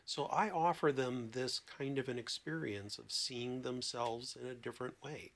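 A man with an average-pitched voice that often drops into creak. He speaks as a therapist on a podcast, explaining through anecdotes and acting out bits of dialogue. His delivery is expressive and emphatic. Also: normal speed. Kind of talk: conversational explanation with anecdotes and acted-out quotes.

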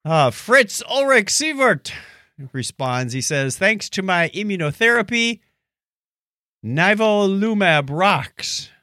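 American guy podcasting, slightly high voice that jumps around a lot, jokey, dry close sound. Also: big pitch swings, slow, bright, forceful tone, no echo. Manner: joking, teasing banter. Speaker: podcast co-host. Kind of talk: casual chat.

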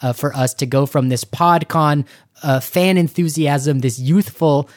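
A man co-hosting a podcast, chatting casually in an excitable, effusive way. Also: fairly high pitch, unhurried, no room sound, studio quality. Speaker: podcast co-host. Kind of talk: casual enthusiastic podcast chat.

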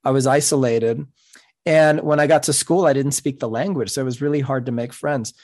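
Earnest, measured man in an interview. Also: medium pitch, steady tone, a little mellow. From New York City. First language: Spanish. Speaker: podcast guest, author. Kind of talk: reflective interview talk.

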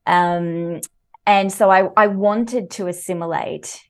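A woman's voice: fairly low pitch, fairly even intonation, slow pace. A woman with an Australian accent, a guest author on a podcast, telling personal stories animatedly and laughing easily. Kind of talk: conversational interview.

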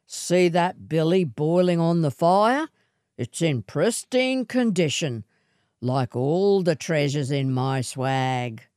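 A woman voicing a scruffy male wanderer and suitor in a storybook, boastful and coaxing, with measured delivery. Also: low-pitched, lively intonation, darkish tone, no room sound, clean studio sound. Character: scruffy swagman suitor, boastful, unhurried, persistent.

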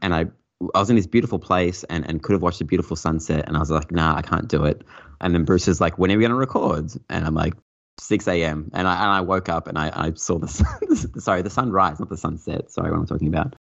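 Man, podcast guest, very low pitch, gravelly fry, rambling, dull sound. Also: fast, fairly bright tone. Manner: rambling, repeats words, joking. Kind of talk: casual podcast chat.

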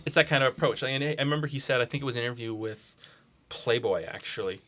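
A man's voice: mid-range voice, quick pace, pushed and bright. A man chatting casually as a podcast guest, joking in a self-deprecating way and swearing freely. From Scotland. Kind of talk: casual chat.

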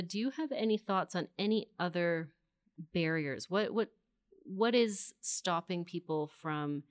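A woman with a notably low voice steers a panel conversation, asking questions and passing the floor to each speaker. Her voice is recorded dry, with no echo. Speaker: panel moderator. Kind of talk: moderating a podcast panel.

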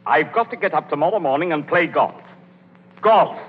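In a hollow, muffled vintage broadcast, a grumbling middle-aged businessman. His fairly high male voice splutters and stammers with exasperation, in broad 1930s comedy style. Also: lively intonation, normal speed, husky. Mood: grumbling, irritated. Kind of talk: 1930s radio comedy acting. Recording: muffled, echoing 1930s radio recording.

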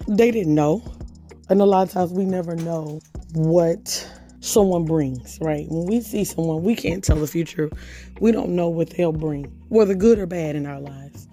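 A hoarse woman hosts a faith podcast in a relaxed, chatty way. Her voice sits fairly low and sounds mellow and dark. She reads scripture aloud between loose, conversational asides, with faint music underneath. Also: normal speed. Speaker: podcast host. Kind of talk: casual devotional podcast talk.

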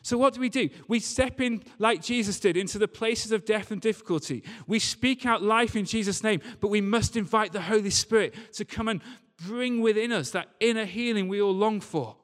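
A man's voice: sits high, moderate pace, hardly any pauses, a bit bright. A man preaching a church sermon in an earnest, emphatic way, repeating key phrases. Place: large reverberant church or hall. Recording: long hall reverb.

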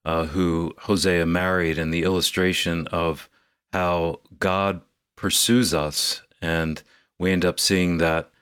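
A man co-hosting a radio Bible talk, speaking reflectively with thoughtful pauses. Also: low voice, flat delivery, a bit bright, clear, resonant tone, no echo, clean studio sound. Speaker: co-host. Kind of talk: casual radio discussion.

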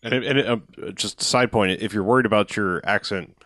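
Low-voiced man, frank and offhand. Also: brisk, a bit bright, no room sound, studio quality. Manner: frank, offhand, swears casually. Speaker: podcast co-host. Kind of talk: casual podcast chat.

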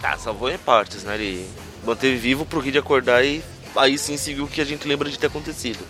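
Brazilian man, rapid talker, mid-pitched voice, casual podcast chat, faint music. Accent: Brazilian Portuguese. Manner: quick, corrective, pointing out original details. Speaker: podcast co-host. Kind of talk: casual comic review chat.

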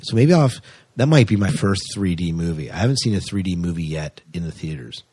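Guy hosting a casual podcast, kinda raspy low voice, really dry close sound. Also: expressive, fairly quick, a little mellow, dry recording. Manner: chatty, enthusiastic, asks his co-host questions. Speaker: podcast co-host. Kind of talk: casual sci-fi news chat.

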